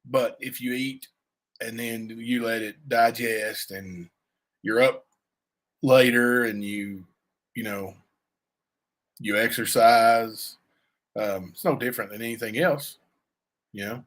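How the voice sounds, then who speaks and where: A man with a raspy voice, chatting loosely on a livestream and answering viewers, full of fillers and asides. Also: mid-range voice, steady tone, slow pace, pauses often, fairly bright tone. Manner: rambling, many fillers and asides to chat. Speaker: livestream cohost; youth basketball coach. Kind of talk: casual livestream chat.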